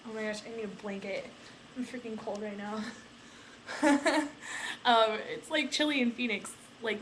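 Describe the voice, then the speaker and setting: A woman's voice: medium pitch, a bit bright, a little hiss or hum, rough recording. A young American woman chatting informally in a casual vlog, rambling and peppering her talk with filler words like "like" and "you know". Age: young adult. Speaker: vlogger, aviation student.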